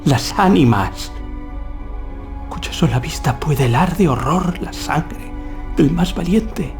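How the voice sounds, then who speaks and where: A young nobleman hunter acted by a man in a gothic horror audio drama, brave yet haunted by dread. He declaims solemnly at a slow pace. Clear music plays behind him. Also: fairly high pitch, animated. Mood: fearful dread.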